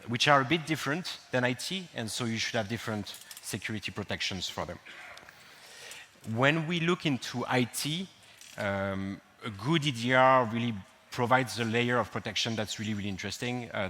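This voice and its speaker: A man's voice: on the low side, bright, forceful tone. A man from France with a French accent, giving a technical conference talk with frequent ums and restarts. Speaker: conference speaker. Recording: long hall reverb.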